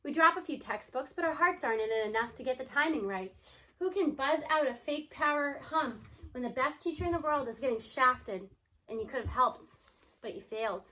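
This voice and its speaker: A woman reading a children's novel aloud in an expressive storybook style, acting out the dialogue. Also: high-pitched, brisk, poor audio. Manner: animated, acts out the characters' dialogue.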